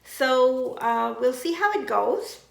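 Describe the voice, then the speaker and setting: A woman hosts a knitting podcast from home and chats at an unhurried pace, with frequent ums and uhs. Her voice has a gravelly edge and sounds a little stuffed up from allergies. The recording carries a roomy echo. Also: sits high, lively intonation. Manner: slow, gentle, with many ums and uhs. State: congested from seasonal allergies. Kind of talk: casual chat.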